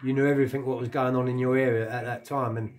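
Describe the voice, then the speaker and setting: Middle-aged London man, flat-toned storyteller. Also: mid-range voice, quick pace. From England. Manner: colloquial, sweary, repeats phrases. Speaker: vlogger telling stories of his past. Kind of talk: casual anecdotal storytelling to camera. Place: living room at home.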